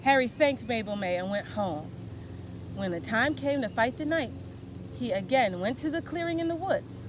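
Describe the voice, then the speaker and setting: A woman storyteller for children speaks in a fairly high voice. Her delivery is that of a casual educator reading aloud. Noticeable ambient noise runs behind her. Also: animated, normal speed. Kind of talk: animated read-aloud storytelling and casual introduction. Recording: clear ambient background noise.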